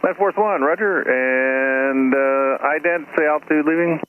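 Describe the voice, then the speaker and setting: A man's voice: fairly high pitch, measured pace, phone-quality sound. A man working as an air traffic controller, giving procedural instructions over aviation radio. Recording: radio transmission with faint noise.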